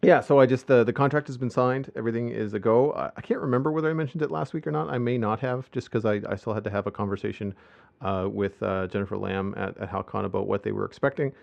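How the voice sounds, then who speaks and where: Gravelly man chatting on a podcast. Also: medium pitch, steady tone, fast talker, a little mellow, lo-fi sound. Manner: rambling, many fillers. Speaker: podcast host. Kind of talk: casual podcast chat.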